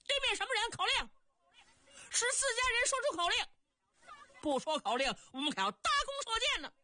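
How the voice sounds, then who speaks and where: A woman storyteller voicing an urgent, threatening male army sentry, barking challenges in a husky voice. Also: sing-song, a little fast, exaggerated voice. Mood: threatening, alarmed. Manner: high, barked shouting challenge.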